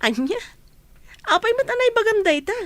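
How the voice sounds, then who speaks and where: A woman's voice: very high-pitched, sing-song, normal speed, dry recording. A woman playing a teasing, outspoken young office friend in an audio drama. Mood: insistent. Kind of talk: amateur audio drama acting.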